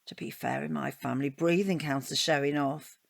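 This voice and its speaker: A middle-aged British divorcee in an audio comedy drama. Her voice sits fairly low and is recorded dry and close. She rambles chattily, wry and defensive, forever justifying herself. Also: fairly even intonation, a little fast, creaky, dry recording, exaggerated voice. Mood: wry, reminiscing.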